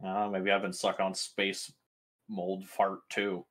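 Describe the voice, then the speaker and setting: Slow, deep-voiced man questioning deliberately. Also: completely flat delivery, fairly bright tone. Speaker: player. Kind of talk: in-character questioning in a tabletop game.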